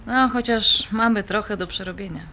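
A woman's voice: medium pitch, normal speed, bright, forceful tone, lightly acted. A woman playing a frank, wry wife in an audio drama, talking in a chatty, colloquial way. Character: Agnieszka, frank, wry wife working on her marriage.

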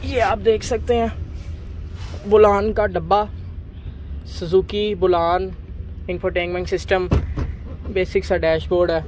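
A man walking viewers around used cars at an outdoor bazaar, listing their features in a steady, repetitive way. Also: high voice, slow pace, long pauses. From Pakistan. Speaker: car market video host. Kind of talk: walkaround car review for viewers. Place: outdoor car bazaar, dusty lot. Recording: outdoor market noise behind.

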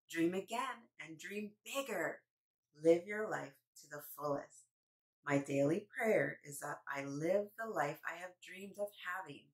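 A woman in her thirties hosting story time for small children, with an animated, sing-song way of talking. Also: low voice, poor audio. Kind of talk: read-aloud for young children. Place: small indoor room with painted mural.

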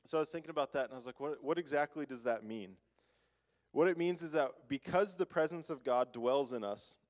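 A young man on a church stage giving chatty announcements full of "like" and small laughs, then a short devotional. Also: mid-range voice. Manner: chatty, laughing, many "like" fillers. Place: church stage, large room.

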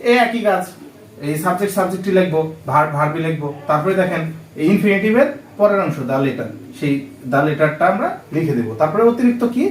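Male Bangladeshi-accented tutor, high lively pitch, question-and-repeat teaching manner, distant mic in a reverberant room. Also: fast, a little hiss or hum. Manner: asks rhetorical check questions and repeats key phrases. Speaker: English grammar teacher. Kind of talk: instructional lesson explaining step by step. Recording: echoey room, distant microphone.

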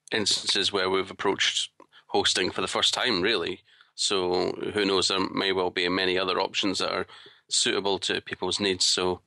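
A man's voice: low voice, vocal fry, bright, forceful tone, no echo. A man co-hosting a podcast, chatting casually in a reflective, agreeable way. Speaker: podcast co-host.

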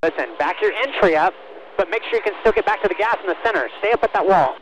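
A man's voice: high-pitched, fast talker, a bit bright, a little hiss or hum, poor audio. A man working as a race spotter, speaking in short, repeated commands over a team radio. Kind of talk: urgent radio spotting calls to a driver.

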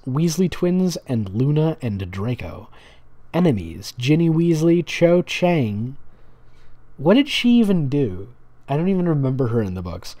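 A man with a relaxed, mellow voice and very lively intonation hosts a comedic reading. He slips between reading aloud and dry, sarcastic commentary, casual and mocking throughout. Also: mid-range voice. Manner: sarcastic, mocking, dramatic asides. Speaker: host reading and mocking fan fiction.

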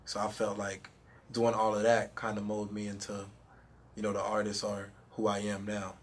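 A man's voice: lowish voice, completely flat delivery, normal speed. A young man, a rapper, chatting casually in an interview with a handheld mic in a studio room, laid-back and full of "you know what I mean". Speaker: rapper guest.

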